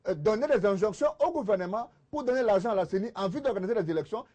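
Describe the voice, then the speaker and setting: A man's voice: high-pitched, lively intonation, quick pace, warm tone. A man with a Congolese accent arguing insistently in a political TV debate, repeating phrases for emphasis. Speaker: debate panelist, political commentator.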